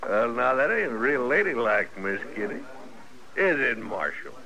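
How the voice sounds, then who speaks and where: An actor playing a swaggering, mocking frontier bully with folksy, sneering speech in a 1950s radio drama. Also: male voice, medium pitch, pushed and bright, heavily acted, poor audio. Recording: old radio recording with faint noise.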